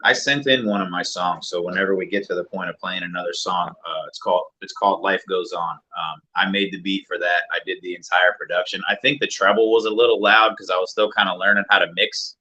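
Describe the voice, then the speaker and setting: A man, a music producer and rapper, talking casually and reflectively as an interview guest in a deeper mid-range voice. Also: a little fast, few pauses, low-quality recording. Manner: relaxed, reflective, many fillers.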